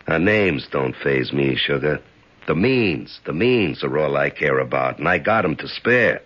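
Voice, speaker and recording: An actor playing a ruthless, menacing American gangster who taunts in slang and Italian endearments, in a 1970s radio drama. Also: male voice, a bass voice, lively intonation, measured pace, slightly rough, exaggerated voice. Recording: dull 1970s radio recording.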